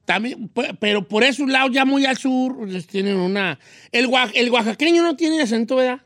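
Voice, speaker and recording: A man's voice: extremely high pitch, moderate pace, a bit bright, no room sound, studio quality. A Mexican man hosting a radio show, chatting casually and joking about accents. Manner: jokey, imitates accents playfully. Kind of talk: casual studio banter.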